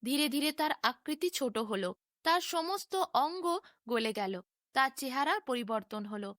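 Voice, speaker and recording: A woman's voice: sits high, expressive, unhurried, fairly bright tone, no echo, clean studio sound. A woman narrating a scripted bedtime fairy tale in a dramatic storytelling manner.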